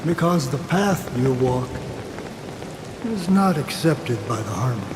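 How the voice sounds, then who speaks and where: An actor playing a solemn, cryptic man in a video game cutscene, speaking gravely and poetically with deliberate phrasing. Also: mid-range voice, lively intonation, background noise, close to an everyday voice. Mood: solemn, foreboding. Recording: echoing hall-like reverb with music.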